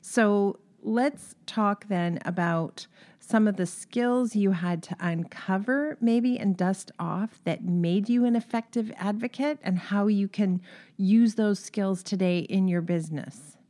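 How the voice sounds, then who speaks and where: A woman's voice: medium pitch, slow pace, dark tone. A woman hosting an interview, speaking in a reflective, reassuring way and thinking aloud as she poses her questions. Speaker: interview host.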